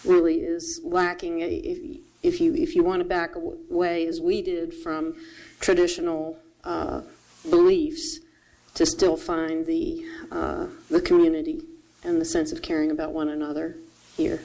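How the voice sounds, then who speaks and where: Mellow, halting woman's voice. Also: low voice, level tone, poor audio. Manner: halting, searching for words. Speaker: church visitor sharing a comment. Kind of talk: brief spoken testimony. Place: church sanctuary.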